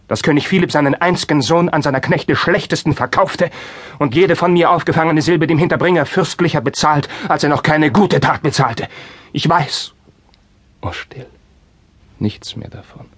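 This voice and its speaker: A husky, anguished young prince. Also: male voice, on the high side, dry recording. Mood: heavy sorrow. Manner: declamatory, emotionally intense, formal verse delivery. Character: young anguished Spanish crown prince, passionate and bitter. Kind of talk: classical German stage drama acting.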